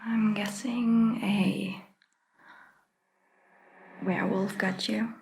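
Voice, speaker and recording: A woman playing a calm, caring vampire doctor in a soft ASMR roleplay, whispering gently and reassuringly with long pauses. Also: medium pitch, vocal fry. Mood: gentle concern.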